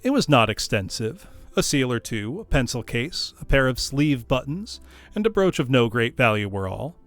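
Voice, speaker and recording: A man narrating a classic tale as a storyteller, in an expressive audiobook style. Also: mid-range voice, normal speed, faint music behind. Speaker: storyteller, streamer. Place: dry room, live stream.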